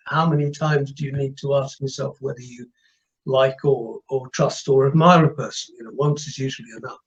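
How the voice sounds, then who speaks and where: A man's voice: a little high, lo-fi sound. A man speaking as an interview guest in casual, thoughtful talk, hesitating and repeating words.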